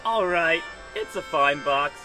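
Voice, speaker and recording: A man acts a down-and-out alley bum in a radio comedy, scrappy and quick to defend himself. His voice is fairly high and sharp. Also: soft background music. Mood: defensive. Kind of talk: old-time radio comedy acting.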